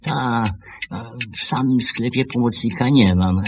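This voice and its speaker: A man acting a slow, halting old shopkeeper. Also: medium pitch, a little hiss or hum, lightly acted. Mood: wistful, lonely. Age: older. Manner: halting, repeating words, stammering. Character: old widowed shopkeeper, lonely, hesitant, gentle watchmaker. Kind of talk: radio play acting.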